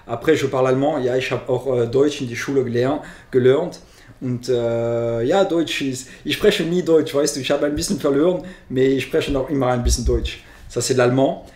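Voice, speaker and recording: A man's voice: mid-range voice, moderate pace, darkish tone, roomy sound. A man from Luxembourg with a Luxembourgish accent, chatting casually as he answers fan questions and often restarts his phrases. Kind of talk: casual Q&A chat.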